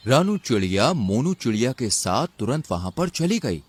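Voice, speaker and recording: Lively male children's story narrator. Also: mid-range voice, moderate pace, husky.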